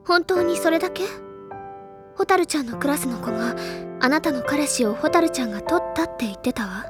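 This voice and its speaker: A woman's voice: high voice, expressive, moderate pace. An actress playing an earnest, bookish senior schoolgirl in an audio drama, speaking articulately and dramatically like a storyteller. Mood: probing. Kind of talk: Japanese audio drama acting, literary storytelling. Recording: loud background music under voice.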